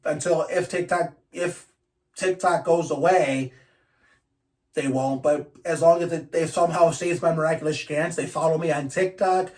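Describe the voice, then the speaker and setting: A man's voice: on the high side, recorded from a distance. A man ranting casually to his channel, blunt and profane, rambling with frequent restarts. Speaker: YouTuber. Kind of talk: casual vlog rant.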